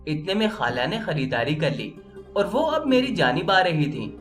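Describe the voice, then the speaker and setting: A woman reading a first-person story as scripted narration, in an expressive, emotional, dramatic storytelling manner. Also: deep voice, normal speed, soft background music.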